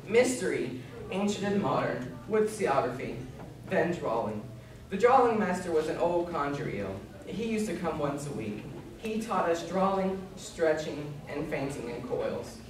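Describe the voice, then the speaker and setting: A woman's voice: sits low, big pitch swings, a little slow. A woman in readers' theatre playing a sorrowful, easily offended Mock Turtle with a ponderous manner. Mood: wistful. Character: sorrowful, huffy old Mock Turtle telling schooldays. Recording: echoey noisy room from far off.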